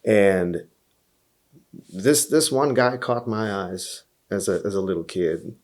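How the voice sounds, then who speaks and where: A man's voice: low-pitched, animated, slow pace, darkish tone. A man from Hungary with a Hungarian accent, telling his story as a podcast guest in an earnest way, often repeating words as he thinks. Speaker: podcast guest, blues musician. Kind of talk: relaxed interview storytelling.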